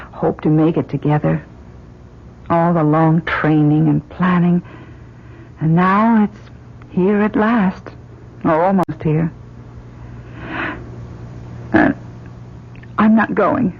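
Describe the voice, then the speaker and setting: An American woman acting in an old-time radio drama as a devoted, anxious astronaut, doctor and wife, with a husky voice. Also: on the low side, steady tone, takes it slowly, pauses often, full character voice. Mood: reluctant, grave. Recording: dull vintage radio drama recording.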